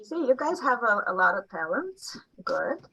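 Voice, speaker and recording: A woman's voice: fairly high pitch, big pitch swings, bright, forceful tone, breathy edge, poor audio. A woman whose first language is Russian, a physician, patiently coaching colleagues through phrases on a video call. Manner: slow, patient coaching with laughs. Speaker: physician teaching stroke exam phrases. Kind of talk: online teaching session. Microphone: computer mic on a video call.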